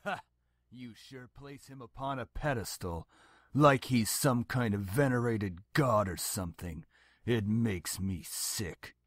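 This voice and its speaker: A man's voice: mid-range voice, slow, no room sound. A man playing a stern, contemptuous middle-aged father in a game, with a scolding, dismissive manner. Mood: angry, scornful. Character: stern, domineering, contemptuous father of a grown daughter. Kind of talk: dramatic game voice acting.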